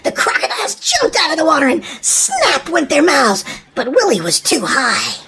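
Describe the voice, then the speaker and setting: Very high, wildly animated woman storyteller. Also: moderate pace, noisy background. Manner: dramatic, emphatic storytelling for young children. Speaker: children's story narrator. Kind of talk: expressive scripted storybook narration.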